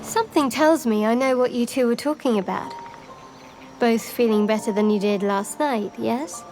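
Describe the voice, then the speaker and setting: Actress as a candid, watchful female game adventurer; slightly high voice, measured and knowing, music underneath. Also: close to an everyday voice, rough recording. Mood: confiding, guarded. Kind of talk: video game dramatic acting. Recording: music behind the voice.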